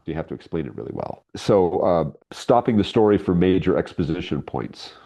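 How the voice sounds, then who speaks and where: A man's voice: low voice, expressive, a little fast, warm tone. A man being interviewed as a guest, explaining writing craft conversationally and emphatically, acting out bits of imagined dialogue as he goes. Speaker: interview guest, writer and audio drama creator.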